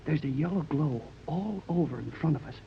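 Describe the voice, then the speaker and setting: An actor playing an eager, earnest young lad in a 1930s radio serial, boyish and polite, exclaiming and slightly halting. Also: male voice, on the high side, animated. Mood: excited, alert. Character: eager, earnest boy adventurer, polite and excitable. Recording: muffled, noisy 1930s radio recording.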